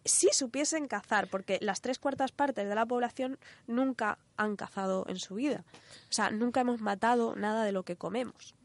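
A woman's voice: on the high side, moderate pace. A woman with an accent from Spain co-hosting a radio show, explaining things casually and colloquially with frequent filler tags. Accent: Spanish from Spain. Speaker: radio show co-host and economist.

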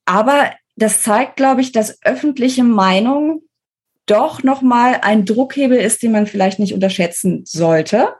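A woman's voice: a little high, a little slow, darkish tone, slightly rough. A woman speaking as an interview guest, giving articulate, explanatory political analysis in a conversational way.

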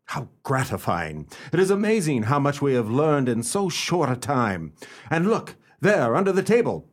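Gentleman detective for radio drama, male actor: inquisitive, self-assured, theatrical, very animated intonation, mid-pitched. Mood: intrigued, self-satisfied. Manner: formal, deliberate, theatrical delivery. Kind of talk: radio play acting with first-person narration.

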